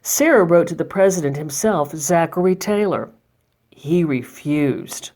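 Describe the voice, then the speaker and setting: A woman reading a children's picture book aloud as a library storytime reader, expressive and emphatic, pausing for effect. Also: on the low side.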